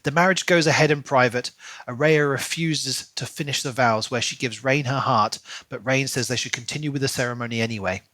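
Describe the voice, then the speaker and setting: A man's voice: medium pitch, brisk, bright, forceful tone, no room sound. Male podcast co-host for book talk, mid-range voice, very dry recording. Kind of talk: book discussion podcast, reading a plot recap then casual chat.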